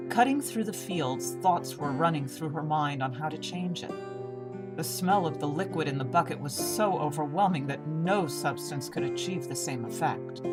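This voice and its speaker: A woman reading scripted fantasy fiction as the narrator of a story. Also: low voice. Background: plucked string music, guitar.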